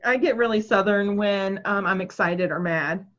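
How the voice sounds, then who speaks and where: A woman's voice: medium pitch, normal speed, fairly bright tone. A woman in her thirties, co-host of a crafting chat, rambling casually with lots of fillers over a video call from home. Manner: rambling, hesitant, filler-heavy. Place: home room. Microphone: webcam on video call. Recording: dull lo-fi call audio.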